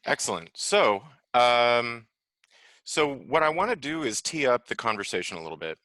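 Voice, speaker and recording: A man's voice: mid-range voice, sing-song, normal speed, pushed and bright, no room sound, rough recording. A man hosting and moderating an online panel discussion, speaking over a video call. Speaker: host.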